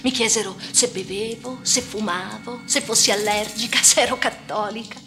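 An actress playing a troubled, defiant young woman in a radio monologue, recounting her life with an intense delivery that moves between hushed and agitated. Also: fairly high pitch, fairly even intonation, moderate pace, natural voice. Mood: bitter, defiant. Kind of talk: dramatic first-person radio monologue. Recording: music under the voice. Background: music.

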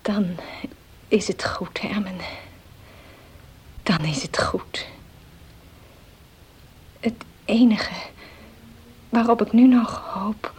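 An actress playing a gentle, devout, ailing wife in a period radio drama, speaking softly and solemnly with a frail, breathy voice. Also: female voice, medium pitch, heavily acted. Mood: fervent, devout. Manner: slow, soft, tender, solemn.

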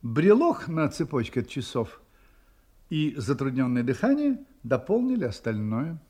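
An actor playing a shrewd, self-assured gentleman detective, courteous and wry, in an audio drama. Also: mid-range voice, sing-song, unhurried. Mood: assured, genial. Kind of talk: Russian audio drama acting.

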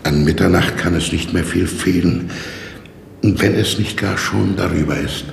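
A fussy, apologetic prison warder in an old radio play, rambling and flustered. His voice is extremely low with a gravelly creak, and it rings with long reverb. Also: a little slow, heavily acted. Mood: apologetic, weary. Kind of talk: 1960s German radio drama acting. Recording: echoey stone-walled reverb, noisy old radio recording.

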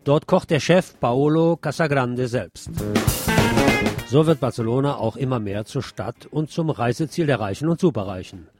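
A man presenting scripted radio news commentary, reading deliberately and emphatically with wry asides. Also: medium pitch, over loud music.

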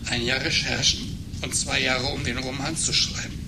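A man acting an embittered, halting failed writer. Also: mid-range voice, level tone, close to an everyday voice. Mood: bitter, halting. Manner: halting, emotionally wrought, accusing. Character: embittered, agitated failed writer confronting his betrayer. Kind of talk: German radio play acting. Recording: noisy 1980s radio play recording.

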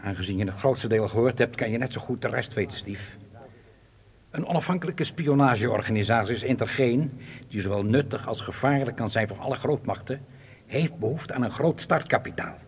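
An actor playing a cold, ruthless spy boss in a radio drama, with a commanding, menacing, deliberate delivery. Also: male voice, medium pitch, lightly acted. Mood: cold, controlled. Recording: hall-like long reverb.

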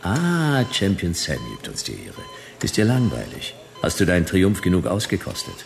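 A man's voice: low voice, huge pitch swings, moderate pace, creaky, soft background music, full character voice. An actor playing a sardonic private detective who narrates his case in laconic, clipped sentences in a noir radio play.

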